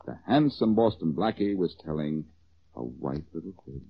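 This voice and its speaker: An actor playing a cocky, quick-witted amateur detective with wry self-assurance in a 1940s radio drama. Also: male voice, a bass voice, lively intonation, vocal fry, breathy edge, exaggerated voice. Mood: playful, teasing storytelling. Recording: dull old radio recording.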